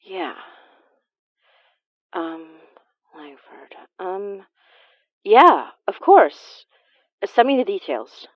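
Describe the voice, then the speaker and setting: A woman's voice: mid-range voice, big pitch swings, extremely slow, long pauses, no echo, dull audio. An actress playing an awkward, guarded woman investigator with dry wit and a hesitant way of talking in an audio drama. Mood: uneasy. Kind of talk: naturalistic audio drama acting.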